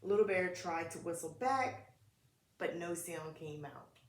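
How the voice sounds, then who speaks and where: Female storyteller, lowish pitch, very unhurried, simple phrasing, preschool read-aloud, noticeable room echo. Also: lively intonation. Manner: simple short phrases, pausing, questioning listeners directly. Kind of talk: interactive picture-book read-aloud. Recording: echoey room.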